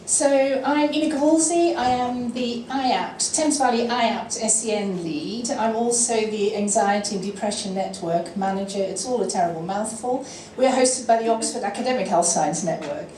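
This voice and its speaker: A woman presenting to a teaching session in a brisk, friendly way. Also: on the high side, darkish tone, a little hiss or hum. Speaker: network lead presenting. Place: lecture room. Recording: roomy room sound picked up from a distance.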